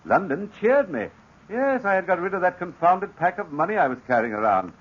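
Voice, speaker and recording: An actor playing a breezy, talkative inn guest in a 1940s radio drama, chatting briskly. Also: male voice, high voice, sing-song, breathy edge, dull audio, full character voice. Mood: jovial. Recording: echoey, hissy old radio broadcast.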